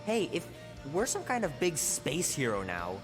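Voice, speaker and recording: A pushy, curious child in a video game, voiced by an actor. The kid is blunt and direct, with very swingy pitch and loud music behind. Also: huge pitch swings, a little slow. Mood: curious, insistent. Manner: direct, questioning.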